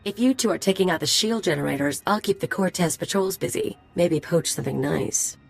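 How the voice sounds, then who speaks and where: An actress playing a roguish mercenary sidekick in a video game, sardonic and casual. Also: female voice, fairly low pitch, expressive, fairly quick, creaky. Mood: mischievous.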